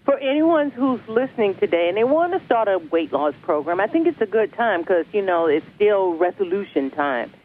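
Animated woman host on a tinny line. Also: medium pitch, moderate pace, hardly any pauses. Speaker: talk show host. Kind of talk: broadcast interview. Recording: phone-like lo-fi line with faint noise.

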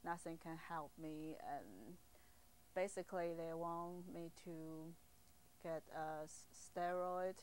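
Lower-pitched Taiwanese-accented woman, hesitant. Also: slow, darkish tone, a little hiss or hum. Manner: hesitant, simply phrased non-native English. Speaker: acupuncturist being interviewed. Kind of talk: informal explanatory interview.

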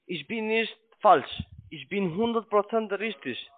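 Over a phone line, a man who is not a native speaker makes a pushy, halting sales call in broken grammar. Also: high voice, expressive. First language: not German. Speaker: cold-calling telemarketer. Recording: narrow tinny phone line.

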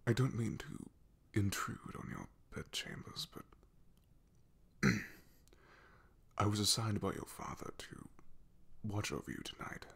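Male actor as devoted knight, ASMR roleplay. Husky and crackly, deferential, nervously hesitant, apologetic. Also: lowish voice, lively intonation, a bit bright, exaggerated voice. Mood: awkward, deferential. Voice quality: breathy, gravelly. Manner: hesitant, apologetic, halting with throat clearing. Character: awkward, loyal, deferential male knight guarding a princess. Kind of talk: ASMR roleplay, soft half-whispered acting.

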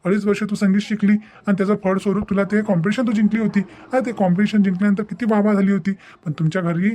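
A man's voice: high-pitched, flat delivery, quick pace, mellow. A man voicing a patient, devoted young husband in a cartoon family drama, coaxing and repeating himself. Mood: earnest, reassuring. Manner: coaxing, repetitive, tags phrases with "haan".

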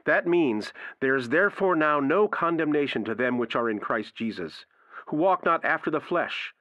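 A man playing an earnest radio preacher in an audio drama, quoting scripture emphatically and deliberately. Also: fairly high pitch, lively intonation, sharp tone, no echo, muffled recording. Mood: earnest, assuring.

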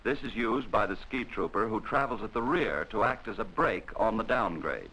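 Old army training film narrator guy, bright voice, bouncy pitch, instructional. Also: animated, low-quality recording. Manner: measured, instructional. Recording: old film soundtrack with faint hiss.

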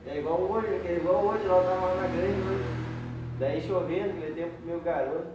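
Young host, echoey distant. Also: male voice, high voice, a little fast, noisy background. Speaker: channel host and interviewer. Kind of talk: casual interview. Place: fishing tackle shop, indoors. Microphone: camera on a tripod, from a few meters off. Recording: echoey shop, far from the mic.

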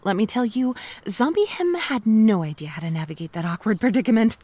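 An actress playing a cheerful, self-aware young zombie, chatting wryly and playfully to the listener in a comedic audio drama monologue. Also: female voice, a little high, expressive, brisk, dark tone. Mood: amused. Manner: wry, playful, conversational asides to the listener.

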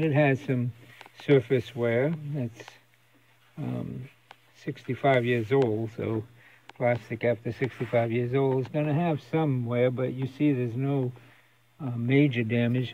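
A man with a gravelly, mid-pitched voice walks through a demonstration casually and haltingly. He takes his time and keeps it plain. The recording is dull, with faint noise behind him. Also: darkish tone. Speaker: radio restorer demonstrating his work. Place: basement with concrete walls. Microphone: handheld camera, operator behind it.

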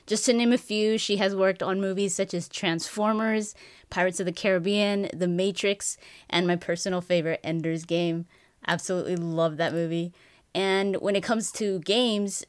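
A woman's voice: fairly low pitch, clear, resonant tone, no room sound, clean studio sound. A young woman hosting an interview over a video call from her home, friendly and upbeat, often restarting her questions. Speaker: interview host. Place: home room with bookshelves.

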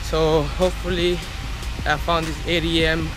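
A young man with a fairly high voice chats casually to his camera as a vlogger. He holds the camera at arm's length, and loud music plays behind him. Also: little pitch movement, normal speed, poor audio. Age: young adult. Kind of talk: casual vlog chat to camera. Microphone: action camera at arm's length.

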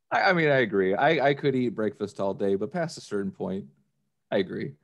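A man's voice: on the low side, expressive, normal speed, creaky. An American man in easygoing, anecdotal casual conversation on a podcast. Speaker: podcast participant. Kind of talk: casual chat. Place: remote video call from a room indoors.

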